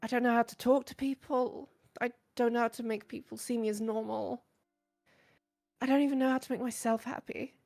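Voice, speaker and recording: A woman voicing a shy, bookish, insecure teenage girl in a visual novel, hesitant, soft-spoken and earnest. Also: on the high side, fairly even intonation, brisk, creaky, faint music behind. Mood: earnest, vulnerable. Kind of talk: visual novel character voice acting.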